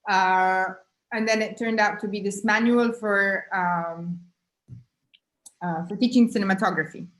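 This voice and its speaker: A woman artist telling a story in a casual conversation, halting often with fillers, over a video call. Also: medium pitch, fairly even intonation, a bit bright. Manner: halting, many "you know, like" fillers. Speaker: guest artist.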